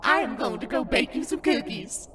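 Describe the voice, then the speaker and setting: A woman's voice: on the high side, animated, normal speed, bright, forceful tone, slight background noise. A woman voicing a doting ghostly grandmother in a cartoon fandub, with a theatrical sing-song manner. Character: ghostly doting grandmother sprite, sweet and cryptic.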